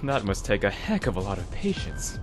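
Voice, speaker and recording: An actor playing a baffled high school boy in an anime fan dub, grumbling and incredulous. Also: wildly swooping pitch, brisk, over music. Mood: puzzled, wry.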